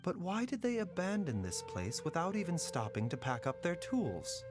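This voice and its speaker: An actor playing a calm, observant investigator in an anime game, with formal, deliberate phrasing. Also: male voice, high-pitched, over music. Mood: analytical.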